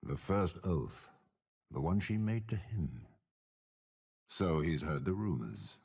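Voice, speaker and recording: Old scheming game warlord, extremely low pitch, husky rasp, unhurried, menacing, disdainful. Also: male voice, big pitch swings, darkish tone, heavily acted. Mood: cold, ominous. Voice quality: gravelly, husky. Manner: slow, deliberate, disdainful. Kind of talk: dramatic game character voice lines.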